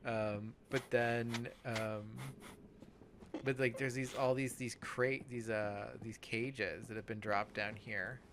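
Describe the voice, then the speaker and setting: A man chatting casually as he commentates on a game, halting and often trailing off mid-thought. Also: mid-range voice, fairly even intonation, noisy background. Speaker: let's play podcast host.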